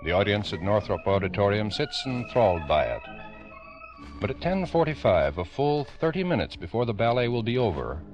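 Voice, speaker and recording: A man giving solemn, ominous, deliberate scripted narration in the style of old-time radio drama. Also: on the low side, very animated, lo-fi sound. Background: organ music.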